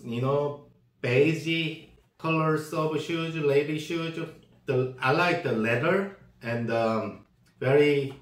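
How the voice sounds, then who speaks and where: Slow, halting Korean-accented man, echoey room. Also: on the high side. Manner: halting, searching for words, many fillers and restarts. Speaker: shoe seller and customizer. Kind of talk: casual product demo. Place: indoor room, green-screen backdrop. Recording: roomy echo, distant mic.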